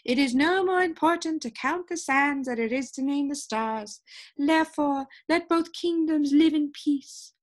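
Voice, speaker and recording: A wise young princess of a storybook, played by a woman, firm and fair. Her voice is high, formal and declamatory. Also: a little slow. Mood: solemn, decisive.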